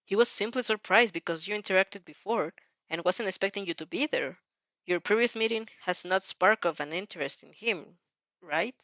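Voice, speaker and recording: A woman's voice: mid-range voice, normal speed, a bit bright, no echo, highs cut off, natural voice. A woman voicing an earnest, worried teenage boy student in a fan fiction reading, doubtful and careful.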